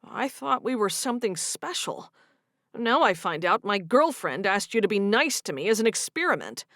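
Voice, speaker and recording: A woman's voice: medium pitch, big pitch swings, moderate pace, fairly bright tone, dry recording, studio quality. A woman reading a romance audiobook, voicing a young man who is wounded and earnest as he confronts his girlfriend. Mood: hurt.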